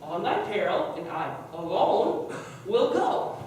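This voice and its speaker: A woman playing a dismissive young Athenian man in a stage play, speaking slowly and deliberately, recorded from far off in an echoey hall. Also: on the low side, very animated, darkish tone, a little hiss or hum. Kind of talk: amateur stage play acting.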